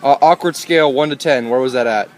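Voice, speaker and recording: A young man, a student, conducting quick, friendly street interviews for a class project and asking the same set questions. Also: mid-range voice.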